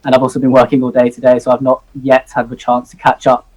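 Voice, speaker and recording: A man's voice: mid-range voice, monotone, fast, a little mellow, poor audio. A young man in his twenties from Hong Kong, a zoology student, joining a live interview by video call, earnest and eager with many ums. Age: 20s.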